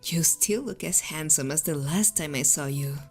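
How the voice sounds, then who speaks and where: A man's voice: fairly high pitch, moderate pace, faint music behind, voice pushed up, lightly acted. A man voicing an ageless, playful fae woman who teases an old friend, in a storybook reading. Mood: teasing.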